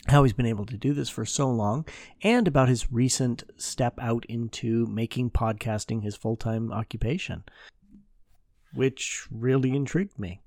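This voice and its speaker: A man's voice: mid-range voice, moderate pace, a little mellow, no room sound. A man hosting a podcast, talking casually and informally as he introduces and interviews.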